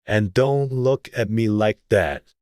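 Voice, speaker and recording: A man's voice: fairly low pitch, takes it slowly, darkish tone, dry recording, studio quality. A man voicing a pompous, fussy, haughty king with clipped delivery in a dramatized storybook audiobook. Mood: indignant.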